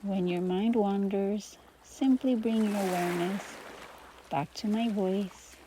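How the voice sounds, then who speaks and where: A woman teaching meditation, guiding listeners gently through breathing and gratitude with soft pauses between phrases. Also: medium pitch, a little hiss or hum, rough recording. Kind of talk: guided meditation.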